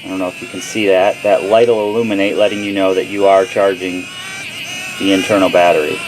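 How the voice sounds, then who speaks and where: A man's voice: deep voice, level tone, fairly quick. A man giving a casual, plain-spoken product review and demonstration in an informal way. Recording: clearly noisy background.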